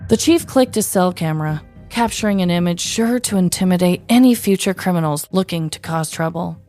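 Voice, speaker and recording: A woman's voice: fairly low pitch, a little fast, darkish tone, soft background music. An American woman in her thirties narrating a scripted comedic story as a podcast host, with a wry, dramatic delivery. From United States. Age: 30s.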